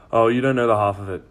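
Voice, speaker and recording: A man playing a level-headed, sceptical police detective with a dry, measured delivery in a fan audio drama. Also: medium pitch, close to an everyday voice.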